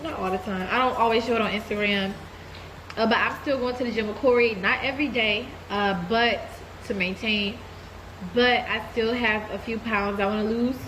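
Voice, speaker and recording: A woman's voice: a little high, moderate pace, slight background noise, low-quality recording. A woman with a stuffy cold voice, chatting candidly to her viewers in a casual life update. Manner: relaxed, candid, addresses viewers as y'all. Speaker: vlogger giving a life update. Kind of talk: casual chat to camera.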